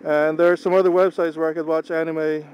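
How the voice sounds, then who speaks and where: A young man whose first language is Tagalog, talking casually in a slow, halting way while driving. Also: fairly high pitch, level tone, muffled recording. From Philippines. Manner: slow, unhurried, halting with pauses. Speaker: vlogger. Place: inside a moving car. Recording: faint car engine hum. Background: car engine and road noise.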